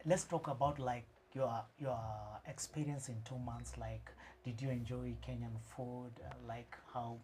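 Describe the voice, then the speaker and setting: A man co-hosting an interview, asking soft, hesitant questions with many fillers. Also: medium pitch, flat delivery, a little slow, slight background noise. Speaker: co-host.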